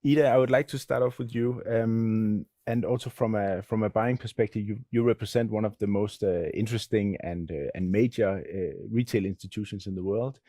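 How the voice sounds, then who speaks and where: A man's voice: on the low side, steady tone, fairly quick, hardly any pauses, dark tone, dry recording, studio quality. Hesitant man moderating a panel. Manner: friendly, hesitant, many fillers. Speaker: panel moderator, magazine editor. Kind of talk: hosting a panel discussion. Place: studio panel at a fashion event.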